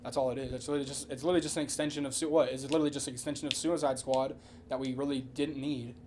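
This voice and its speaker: A man with an average-pitched voice chats as a podcast co-host. He is opinionated and sweary, hammering points by repeating them. There is slight noise in the recording. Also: flat delivery, rapid-fire, darkish tone. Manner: sweary, slangy, emphatic repetition. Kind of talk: casual opinionated chat.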